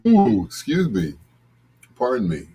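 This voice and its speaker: Slow-talking, expressive man chatting on livestream. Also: mid-range voice, breathy edge. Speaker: host of a bedtime stories livestream. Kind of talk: casual livestream chat, greeting viewers.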